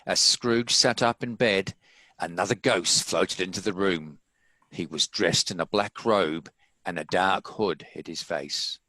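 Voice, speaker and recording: A man narrates a scripted story. His voice is deep yet bright and forceful, and it sounds close and dry with very little room around it. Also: unhurried, dry recording, rough recording. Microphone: home video-call recording.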